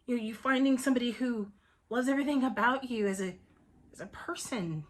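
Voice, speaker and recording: A woman performing a theatrical monologue as a distraught, wry wife pleading against divorce, emphatic and halting, swinging between sarcasm and pleading. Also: a little high, voice pushed up, natural voice. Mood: wounded, pleading. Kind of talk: theatrical dramatic comedy monologue.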